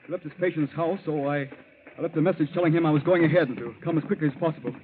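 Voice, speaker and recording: An American actor playing an earnest, shaken young doctor in an old-time radio drama, with a husky, hesitant delivery. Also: male voice, a little high, rapid-fire, noisy background, lightly acted. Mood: concerned, clinical. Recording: muffled vintage radio recording.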